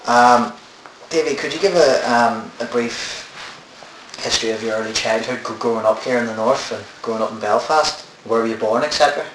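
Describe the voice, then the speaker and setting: A man's voice: mid-range voice, a bit bright. Hesitant male interviewer, far off mic. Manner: hesitant, halting questions with many ums. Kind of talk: oral history interview. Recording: distant mic in echoey room with faint hiss.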